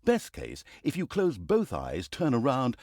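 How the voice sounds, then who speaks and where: A man's voice: medium pitch, wildly swooping pitch, measured pace, darkish tone, no echo, exaggerated voice. A male actor playing the wry, mocking storyteller narrator of a comedic fantasy video game, theatrical and tongue-in-cheek, swinging between grand storytelling and dry asides.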